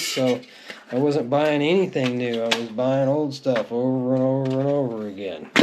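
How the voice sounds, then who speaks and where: Clear background noise sits under the voice of a middle-aged man. He talks at an average male pitch in an unscripted, conversational way. It is a casual show-and-tell, full of easy reminiscing. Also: a little mellow. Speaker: music collector presenting their collection. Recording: clearly noisy home recording with clicks.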